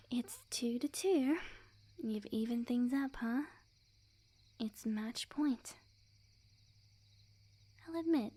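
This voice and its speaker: A sweet yandere witch acted out by a woman for an ASMR roleplay, young, clingy and lovesick. Her voice sits fairly high but stays mellow and soft, often breathy and close to a whisper. She speaks intimately, with coy, earnest devotion. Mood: nervous, proud.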